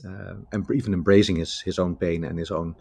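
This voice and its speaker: Dutch-accented man, very low voice, reflective, rambling, restarts often, video call. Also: steady tone, brisk, vocal fry, a little mellow, husky. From Netherlands. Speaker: guest author. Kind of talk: casual conversation.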